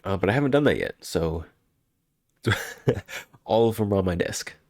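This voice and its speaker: Congested low-voiced male streamer. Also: expressive, normal speed, darkish tone, dry recording, studio quality. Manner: rambling, self-interrupting, chuckling. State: congested from a cold. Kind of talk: casual live-stream chat.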